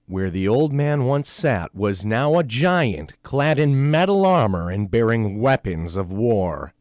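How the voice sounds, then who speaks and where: A man narrating a scripted comic adventure story, with occasional deliberate hesitations. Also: medium pitch, sing-song, darkish tone, no echo, studio quality.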